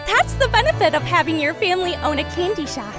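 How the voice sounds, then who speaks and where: A woman voicing a bubbly, sweet, excitable young girl in a fan audio drama. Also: very high-pitched, quick pace, music in the background. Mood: curious, bubbly. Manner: gushing, excitable, exclamatory. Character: bubbly, sweet, energetic young girl who loves colors.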